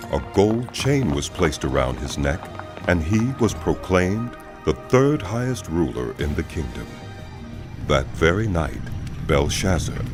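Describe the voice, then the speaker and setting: A man narrates scripture in a dramatized audio production. His voice is low, with a very lively range of intonation. Music plays clearly behind the reading.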